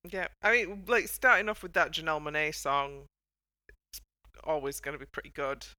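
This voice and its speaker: A woman hosting a casual chat about a TV show, talking with wry, halting phrasing. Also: deep voice, expressive, sharp tone, dry recording, clean studio sound. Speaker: podcast host. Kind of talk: casual discussion of a TV dance show.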